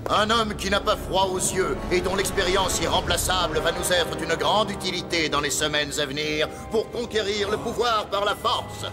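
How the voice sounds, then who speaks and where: A man's voice: high voice, normal speed, hardly any pauses, lightly acted. A man playing a zealous conspirator leader in a cartoon, delivering grandiose, declamatory political oratory. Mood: rousing. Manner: pompous, declamatory. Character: zealous male conspirator leader rallying world powers. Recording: music and crowd behind the voice.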